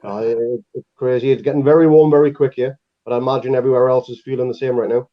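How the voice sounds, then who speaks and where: A man's voice: medium pitch, steady tone, quick pace. A laid-back man with a Northeast English accent talks with dry humour as a podcast guest. His voice comes through a video call and sounds a little dull and muffled. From northeast England. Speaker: podcast co-host. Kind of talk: casual podcast chat.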